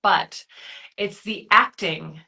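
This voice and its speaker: Woman, author guest, bright forceful tone, gushing, chatty. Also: medium pitch, takes it slowly, creaky. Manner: warm, gushing, laughs easily. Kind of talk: casual interview chat.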